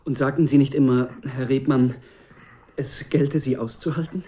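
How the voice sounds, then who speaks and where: An actor playing an earnest, troubled young man in a German radio drama, polite and halting, with a soft husky voice. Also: mid-range voice, steady tone, natural voice. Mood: earnest, impassioned. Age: young adult. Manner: hesitant, courteous, halting.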